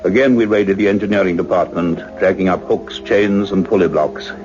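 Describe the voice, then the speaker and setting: Actor as earnest scientist, low voice, dull radio sound. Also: male voice, darkish tone, close to an everyday voice. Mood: awed, tense narration. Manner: deliberate, intense, dramatic phrasing. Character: earnest male scientist, doctor, excited yet grave and cautious. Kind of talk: radio play acting and dramatic narration. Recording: dull lo-fi radio recording, faint music.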